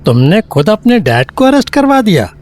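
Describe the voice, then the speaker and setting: Bewildered police officer, swooping pitch. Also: male voice, big pitch swings, normal speed, a little mellow, faint music behind. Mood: stunned, disbelieving. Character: earnest, bewildered male police superintendent. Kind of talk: Hindi audio drama acting.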